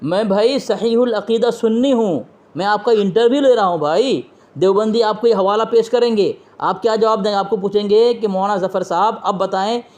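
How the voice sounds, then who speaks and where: High-pitched man, insistent debater. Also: a little mellow. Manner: insistent, pressing with repeated questions; quotes from books and scripture. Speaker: interviewer and debater. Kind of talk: religious debate on a phone call. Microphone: phone call.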